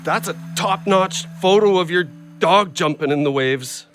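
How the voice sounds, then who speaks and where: An actor playing an agitated, hard-up injured ex-logger who rambles bluntly in an audio play. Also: male voice, sits high, a little slow, fairly bright tone, faint music behind. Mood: rambling, proud. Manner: blunt, rambling, plain-spoken.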